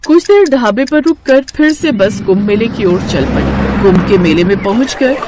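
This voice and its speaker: A woman's voice: mid-range voice, lively intonation, normal speed, heavy background noise. A woman narrator reading a scripted moral story.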